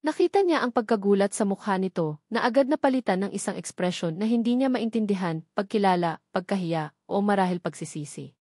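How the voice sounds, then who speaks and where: A woman's voice: mid-range voice, animated, a little fast, darkish tone, no echo, studio quality. A woman reading a scripted dramatic story as its narrator.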